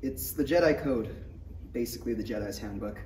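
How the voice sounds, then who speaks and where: A man's voice: mid-range voice, brisk, close to an everyday voice. A man playing an earnest, self-doubting young apprentice knight, hesitant and awkward, at a table read. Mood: casual. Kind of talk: table read of a parody script. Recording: echoey room, distant mic, faint noise.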